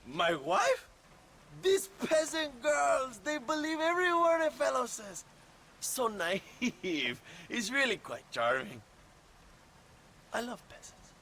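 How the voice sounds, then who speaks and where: A man playing a pompous, lecherous Mexican rebel leader in a video game, theatrical and flattering, with a Mexican accent. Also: very high-pitched, slow, slight background noise, full character voice. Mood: amused, smug. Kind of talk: video game cutscene acting.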